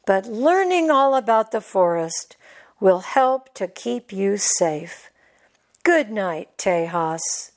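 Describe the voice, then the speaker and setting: A woman voicing a patient mother tiger in a storybook, explaining things in a measured, gently instructive way. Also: mid-range voice, animated. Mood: reassuring.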